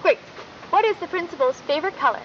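Young woman playing a perky female student co-anchor, gushing delivery, high-pitched, muffled old broadcast audio with slight noise. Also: animated, fast talker. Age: young adult. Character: perky, devoted female high school news co-host. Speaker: news co-host. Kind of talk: 1990s school broadcast presenting, analog horror acting. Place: outdoors in a forest at night. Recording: dull lo-fi VHS-style audio, faint noise.

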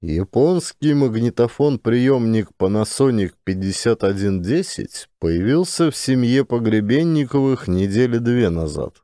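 A man's voice: medium pitch, animated, unhurried, hardly any pauses, dark tone. A man narrating a satirical audiobook in a dramatized storytelling style.

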